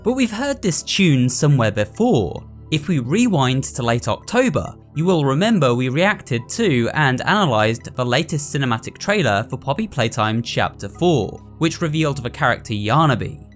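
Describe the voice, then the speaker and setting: A man's voice: mid-range voice, sing-song, normal speed, few pauses, faint music behind. A man narrating a scripted breakdown as the host of a video.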